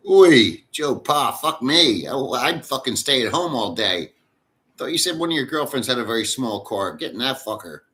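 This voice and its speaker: A man's voice: medium pitch, sing-song, moderate pace, pushed and bright. A man hosting a casual livestream, reading chat aloud and rambling in a crude, profane way.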